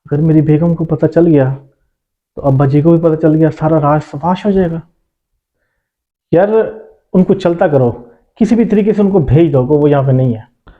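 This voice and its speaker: A man's voice: a little high, long pauses, lightly acted. A man acting as a doubting, self-serving grown son in a moral drama. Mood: alarmed, scheming. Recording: dull lo-fi sound, faint music.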